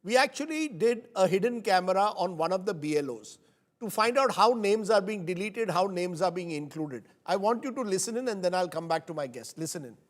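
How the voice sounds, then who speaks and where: High-pitched man anchoring a panel debate. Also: expressive, fairly quick. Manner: pointed, pressing questions. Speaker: news anchor.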